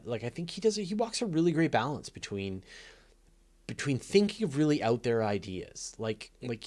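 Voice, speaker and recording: A man with a fairly low voice hosts a casual live Q&A. He speaks off the cuff and earnestly, rambling along, stopping and restarting before rushing on through a thought. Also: darkish tone. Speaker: host of a live space Q&A. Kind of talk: casual unscripted livestream chat.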